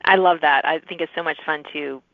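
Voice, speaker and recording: A woman's voice: deep voice, fairly even intonation, brisk, gravelly, no room sound. A woman hosting a podcast, conversationally interviewing authors about their books. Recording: muffled narrow-band radio-like call audio.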